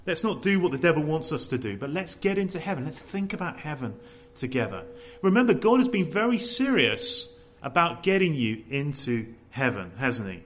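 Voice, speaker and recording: Man, preacher, expressive and loud, rises and falls a lot. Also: fairly high pitch, moderate pace, hardly any pauses, slight background noise. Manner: lively, animated preaching with rhetorical questions; says he has a loud voice. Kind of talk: sermon.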